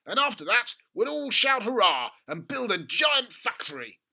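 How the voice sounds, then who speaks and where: A male reader acts out an excitable storybook father bursting with grand schemes. His voice leaps high and swings widely, with a very bright, forceful edge. He exclaims and bulldozes his way through every sentence. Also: normal speed, gravelly, heavily acted. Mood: frantic, impatient. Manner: shouting, exclaiming, overbearing.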